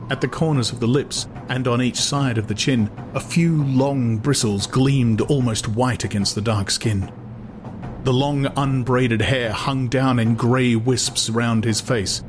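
A man narrating a literary audiobook in a dramatized storytelling style. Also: mid-range voice. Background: music.

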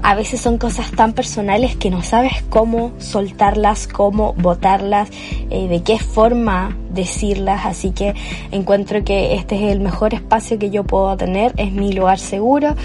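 A woman's voice: mid-range voice, fairly even intonation, unhurried, mellow, faint music behind. Cheerful young Chilean woman chatting. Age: young adult. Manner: chatty and cheerful, with fillers and restarts. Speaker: podcast host. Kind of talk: improvised solo podcast monologue. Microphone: cheap home microphone.

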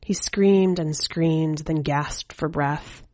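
A woman narrating scripted fiction as a story reader, with a measured, hushed delivery. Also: low voice, no room sound. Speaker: podcast editor and story reader.

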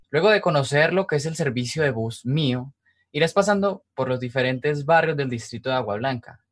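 A male podcast host with a Colombian accent from Cali. His voice sits at a middle pitch, and he speaks in an informal narrating style.

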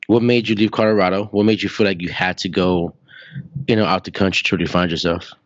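A podcast host with a deep, low voice that is still recovering from being lost. He talks in a relaxed, blunt way and swears casually. The audio is dull and dry, with a lo-fi feel. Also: quick pace, no room sound. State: voice still recovering after losing it. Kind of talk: casual interview chat.

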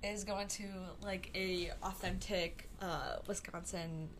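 A woman's voice: on the low side, steady tone, slow, low-quality recording. Young woman host, solo podcast, loose rambly delivery full of fillers, faint background noise. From Chicago area. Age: young adult. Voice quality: rambling with many fillers and tangents. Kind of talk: casual solo podcast chat.

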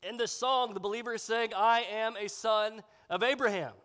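Pastor, male, high and nasal with sinus congestion, preaching in a long-reverb church hall. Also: steady tone, moderate pace, a bit bright, clear, resonant tone. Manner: conversational preaching with fillers. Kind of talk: sermon. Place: church sanctuary.

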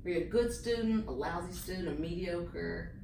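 A woman's voice: on the low side, moderate pace, gravelly, background noise. Female interviewer, agreeable and affirming; distant, roomy sound. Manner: warm, agreeable, affirming backchannel. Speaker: interview host. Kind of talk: casual interview.

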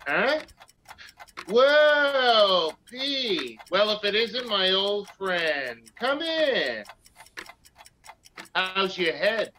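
An actor playing a falsely friendly, jovial nightclub-owning crook with drawn-out talk in a radio play. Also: male voice, sits high, very animated, pushed and bright, a little hiss or hum, exaggerated voice. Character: smooth-talking, jovial male nightclub-owner crook. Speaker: actor in live radio theatre. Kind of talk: vintage radio play acting.

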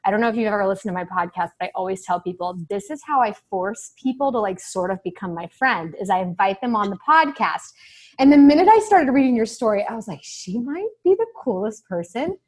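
A woman's voice: medium pitch, lively intonation, a little fast. Host: woman from Kansas, chatty and gushing, friendly interview lead. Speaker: podcast host. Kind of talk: casual interview hosting.

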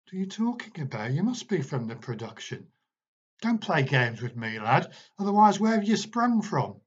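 A man's voice: fairly high pitch, sing-song, measured pace, a little mellow, slightly rough, pitched above the performer's own voice, heavily acted. A man voicing an irritable, bossy grown man who barks raised, hectoring questions. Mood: irritated. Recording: dull, muffled recording.

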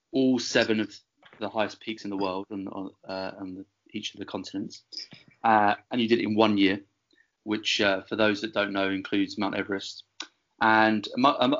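A man's voice: on the low side, fairly bright tone, dull audio. A man hosting a podcast interview, talking with many fillers and restarts. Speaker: podcast host.

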